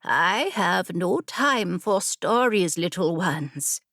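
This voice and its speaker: A woman voicing a sharp-tongued but fond old grandmother, a nomad storyteller who scolds in a storybook tale. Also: on the low side, lively intonation, takes it slowly, no room sound, studio quality, heavily acted. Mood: mock-stern. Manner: scolding, tutting.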